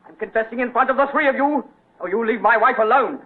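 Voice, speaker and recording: High-voiced desperate criminal. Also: male voice, fast talker. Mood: desperate, defiant. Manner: intense, dramatic. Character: desperate, defiant male criminal confessing at gunpoint. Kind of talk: 1940s radio drama acting. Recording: old lo-fi 1940s radio recording.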